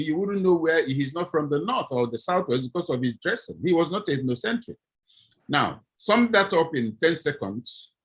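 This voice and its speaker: A middle-aged man from southeast Nigeria with a Nigerian accent, a professor speaking on a video-call panel, animated and acting out little scenes as he argues. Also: on the high side, husky, rough recording.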